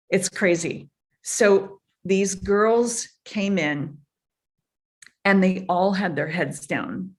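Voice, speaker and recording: An older woman, a podcast guest and foundation founder, telling stories emphatically in a booming voice and repeating phrases as she gathers her thoughts. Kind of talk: conversational storytelling.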